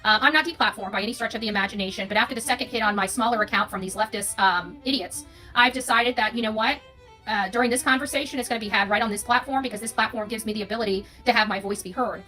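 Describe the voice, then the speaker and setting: American female content creator, off-the-cuff opinion monologue, brisk, forceful, emphatic with repeated phrases. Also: a little high, faint music behind. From United States.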